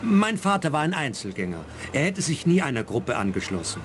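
A man's voice: on the high side, sing-song, a little fast, noisy background. A man playing a curt, impatient and haughty businessman in a radio play, brusque and dismissive. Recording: loud outdoor boat ambience behind. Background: boat deck ambience.

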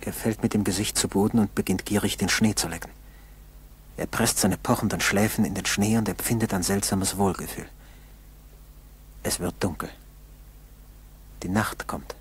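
An actor playing a solemn narrator who gives terse present-tense commentary in a 1960s German radio play. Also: male voice, on the low side, fairly even intonation, moderate pace, pauses often, slightly rough. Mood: grave. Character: grave male narrator urgently observing a struggling man.

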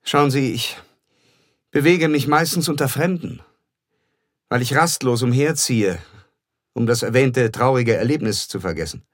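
An actor playing a wealthy, secretive, self-mythologizing gentleman in a radio drama, speaking with courteous, old-fashioned familiarity. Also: medium pitch, measured pace, long pauses, no room sound, lightly acted. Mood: confiding. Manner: polished, boastful, addresses listener with old-fashioned familiarity. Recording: studio radio play with music underneath.

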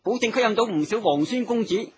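A sly male con man, high-pitched and rough-edged. He coaxes and flatters ingratiatingly in Cantonese radio drama style. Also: fairly quick, exaggerated voice. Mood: coaxing. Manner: coaxing, ingratiating. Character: sly male accomplice, fawning smooth-talking con man.

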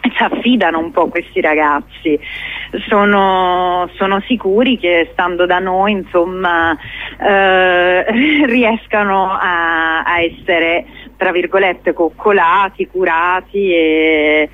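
Slow-paced woman on a phone-like line. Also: lowish voice. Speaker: interview guest, theatre company director. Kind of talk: informal radio interview. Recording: roomy, tinny phone-like line on radio.

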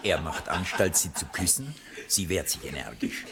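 Male narrator, low voice, scripted radio play narration, roomy sound, loud noise. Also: animated, normal speed. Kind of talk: scripted stage-direction narration. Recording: echoey old recording with loud noise.

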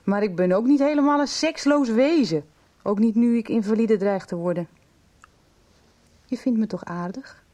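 An actress playing an ailing, lonely schoolteacher in a radio soap, speaking in an intimate, probing and hesitant way. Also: female voice, on the high side, moderate pace, long pauses, warm tone, natural voice. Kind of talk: Dutch radio soap acting.

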